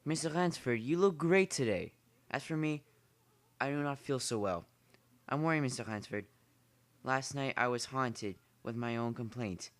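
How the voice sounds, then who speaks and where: A man's voice: mid-range voice, moderate pace, dry recording, close to an everyday voice. A man playing an urbane, smug aristocratic general in an amateur drama, speaking in a formal, genteel manner. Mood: suavely persuasive. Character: urbane aristocratic male general who hunts people for sport. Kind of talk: amateur short story dramatization.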